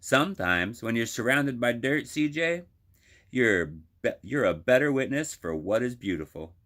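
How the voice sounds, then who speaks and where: A man voicing a spirited, wise grandmother who chides her grandson warmly in a storybook reading. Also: mid-range voice, expressive, normal speed, pitched above the performer's own voice, lightly acted. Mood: gently wise.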